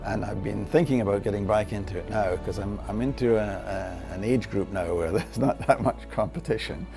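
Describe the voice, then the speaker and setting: A man's voice: fairly low pitch, moderate pace, creaky, over music. An elderly man of eighty-one, chatting casually and wryly about himself. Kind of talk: casual chat, personal testimonial.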